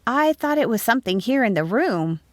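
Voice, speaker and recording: An eager, outspoken schoolchild from a storybook, acted out by a woman narrator. The voice is lifted high and exclaims in a childlike way. The recording is very dry. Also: big pitch swings, a little fast, dry recording. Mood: protesting.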